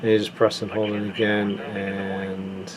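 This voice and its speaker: A man's voice: lowish voice, unhurried, crowd noise. A man giving a casual, plain-spoken product demonstration in an informal walkthrough style. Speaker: product reviewer. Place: indoors, home tabletop recording. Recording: clear steady air-conditioning room noise.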